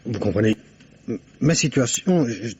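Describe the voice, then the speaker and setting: An actor playing a timid, stammering, henpecked middle-aged husband in a French radio mystery play. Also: male voice, mid-range voice, expressive, moderate pace, darkish tone, husky. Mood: nervous. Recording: muffled old French radio recording.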